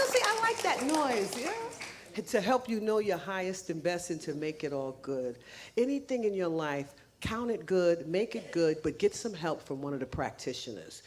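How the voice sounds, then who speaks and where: A woman making casual announcements to a church congregation, chatty and laughing, with frequent restarts, speaking English as a second language. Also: fairly low pitch. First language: not English. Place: church sanctuary.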